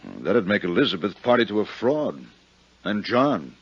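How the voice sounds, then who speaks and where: A man with an American accent acting as a haggard, worried, soft-hearted lawyer in a 1950s radio drama, earnest and hesitant. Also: fairly low pitch, big pitch swings, moderate pace, breathy edge, heavily acted. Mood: earnest, explaining. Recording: muffled vintage radio recording.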